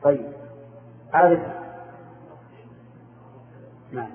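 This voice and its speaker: A man's voice: on the high side, very slow. A man teaching Arabic grammar in class, quizzing students and confirming their answers with short replies. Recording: echoey room, phone-like narrow sound.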